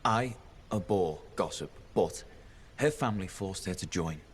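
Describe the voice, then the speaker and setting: An actor playing a prissy, self-important gentleman in a video game, haughty and pompous. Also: low-pitched, lively intonation, unhurried, a little hiss or hum. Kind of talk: video game character dialogue.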